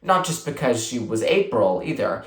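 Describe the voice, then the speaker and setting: A narrator reading a children's book aloud in an expressive storytelling style. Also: medium pitch, moderate pace. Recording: roomy, echoing sound.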